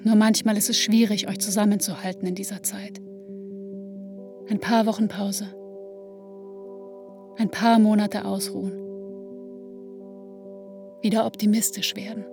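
An actress playing an introspective woman in her thirties, narrating a literary radio play in a measured, reflective way. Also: medium pitch, little pitch movement, lightly acted. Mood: sad, subdued. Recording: music under the voice. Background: musical instruments.

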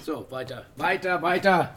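A somewhat high-voiced man an actor as a callous prison doctor. He is curt and commanding in radio drama style, with faint noise behind him. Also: measured pace, close to an everyday voice.

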